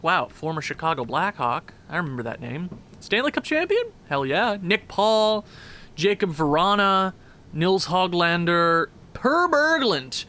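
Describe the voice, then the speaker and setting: Excitable high-voiced man, stuffed-up nose. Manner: chatty, excitable, quick asides. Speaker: gaming commentator. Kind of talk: casual video game commentary.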